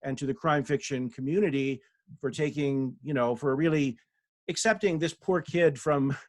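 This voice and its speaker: Man, guest author, humble, hesitant fillers, higher voice, dry close sound. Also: normal speed, no echo. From Denver, USA. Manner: self-deprecating, frequent fillers and restarts. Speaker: guest speaker, author and professor. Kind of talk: humble, rambling talk on a video call.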